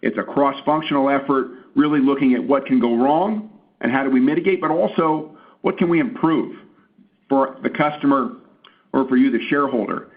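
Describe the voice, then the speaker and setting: A man answering analyst questions as a company executive on a business call, speaking in measured corporate language. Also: medium pitch. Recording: narrow, tinny phone line.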